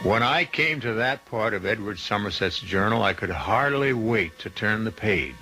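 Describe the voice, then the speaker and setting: An actor playing the urbane, macabre host of a suspense radio show, narrating in a deliberate, droll, theatrical way. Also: male voice, lowish voice, pushed and bright, heavily acted, poor audio.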